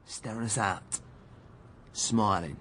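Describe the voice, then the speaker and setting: A man's voice: on the low side, slow pace, bright, forceful tone, slight background noise. An actor playing a cocky, defensive male footballer under questioning in a radio drama, with a London accent and slangy, blustering speech. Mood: evasive, blustering. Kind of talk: naturalistic radio drama acting.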